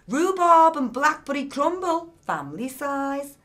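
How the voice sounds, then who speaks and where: A woman's voice: high voice, very animated, a little slow, fairly bright tone, voice pushed up, full character voice. A woman voicing a frank, teasing woman friend in an audiobook, affectionate but blunt and chiding. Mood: accusing.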